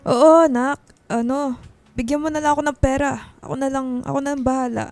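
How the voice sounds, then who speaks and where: Stubborn, high-pitched Filipino mother. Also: steady tone, brisk, darkish tone, soft background music. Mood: evasive, flustered. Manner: exclamatory, brushing off, repeating herself. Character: stubborn, evasive, ailing mother of grown daughters. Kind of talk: Filipino radio drama acting.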